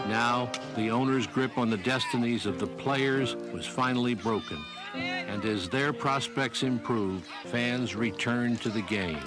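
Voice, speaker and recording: Solemn male documentary narrator over loud music. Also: mid-range voice.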